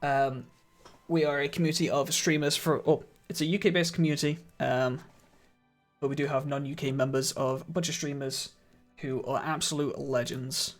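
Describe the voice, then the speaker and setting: Chatty man, slightly croaky. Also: mid-range voice, steady tone, normal speed, faint music behind. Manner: chatty, laughs often, greets and thanks viewers. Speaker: streamer. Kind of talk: casual live-stream chat with viewers. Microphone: studio microphone.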